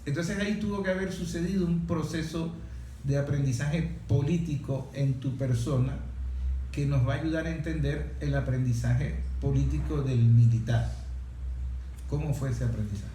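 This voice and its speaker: A man's voice: on the high side, steady tone, moderate pace, warm tone. A man interviewing conversationally, building long reflective questions and affirming his guest. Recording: roomy echo with faint background noise.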